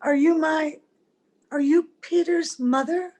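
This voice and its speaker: A woman's voice: sits high, fairly even intonation, slow, darkish tone. A woman playing a strange, weary, evasive young woman in an old-time radio drama. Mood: hesitant, yearning. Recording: home video-call audio, music behind.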